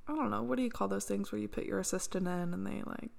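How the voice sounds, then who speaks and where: A woman's voice: medium pitch, fast talker, vocal fry, darkish tone. A woman co-hosting a podcast in casual chat, with a dry, understated way of talking. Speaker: podcast co-host.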